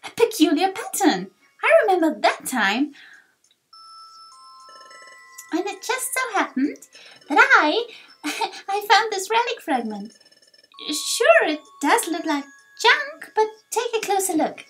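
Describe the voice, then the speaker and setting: A woman voicing a young, earnest and excitable little adventurer creature in a video game. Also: very high-pitched, a little slow, exaggerated voice, poor audio. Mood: excited. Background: game music.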